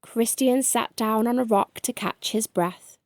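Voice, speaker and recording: A woman reads a children's bedtime story as narrator. Her voice is fairly high and her pace very slow, with a dry, close sound free of echo. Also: a little mellow.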